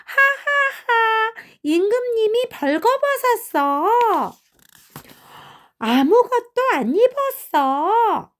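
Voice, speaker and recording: A small, mocking child in a storybook, as a woman reader puts on. The voice is shrill and giggly, leaping high with drawn-out glee. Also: big pitch swings, a bit bright, exaggerated voice. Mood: gleeful.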